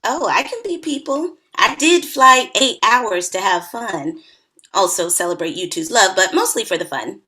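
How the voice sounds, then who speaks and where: Sardonic, self-assured wedding guest, read by an actress, bright voice at medium pitch, conversational. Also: female voice, expressive, normal speed. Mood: wry, playful. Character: sardonic, confident party-loving software developer wedding guest. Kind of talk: screenplay table read.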